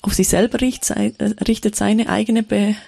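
A woman giving a sermon in a thoughtful, searching way, often pausing with fillers and restarting her sentences. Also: mid-range voice, flat delivery, creaky, warm tone, no echo. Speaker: preacher.

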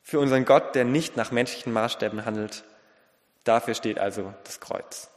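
A man delivering a sermon in an earnest, deliberate way, in short phrases with pauses and a slight lisp. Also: mid-range voice. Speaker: preacher. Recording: long reverberant hall.

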